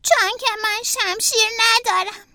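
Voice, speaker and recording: A woman's voice: very high-pitched, pushed and bright, no room sound, clean studio sound, pitched above the performer's own voice, full character voice. A woman voicing an eager, earnest young boy turtle in a children's storybook. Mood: glum.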